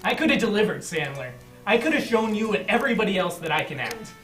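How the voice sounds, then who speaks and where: A man's voice: high-pitched, very animated, fast talker, fairly bright tone, soft background music. A man acting an aggrieved, sweary comic actor full of hurt and bitterness at a comedy table read. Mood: angry, wounded. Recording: echoey room, distant mic.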